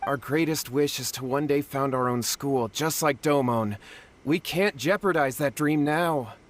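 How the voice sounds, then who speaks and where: A man's voice: mid-range voice, measured pace, slight background noise, lightly acted. A man voicing a desperate young man in a video game, earnest and anxious as he pleads for help.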